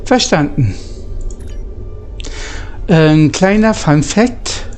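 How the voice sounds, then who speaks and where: A man's voice: fairly high pitch, lively intonation, extremely slow, a little mellow. A native German man reading out game dialogue replies and commenting on his playthrough. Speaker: gamer narrating a playthrough. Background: faint game music.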